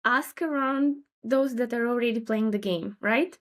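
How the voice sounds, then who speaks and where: A young woman, a freelance designer talking thoughtfully as an interview guest, with many fillers and pauses. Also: fairly high pitch, a bit bright. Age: young adult. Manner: thoughtful, hesitant with fillers and light laughs. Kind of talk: casual interview chat.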